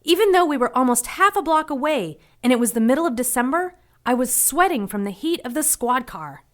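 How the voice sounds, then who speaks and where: A woman's voice: high voice, lively intonation, a little fast. An actress playing a plucky, anxious 1940s young woman who narrates her own adventure in an audio drama, earnest and dramatic. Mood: anxious narration.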